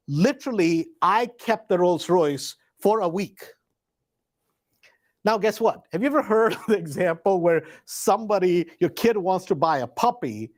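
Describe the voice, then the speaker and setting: A man hosting a casual storytelling talk, retelling an anecdote in an animated, emphatic way with acted-out dialogue and slangy phrasing. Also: high voice. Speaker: host.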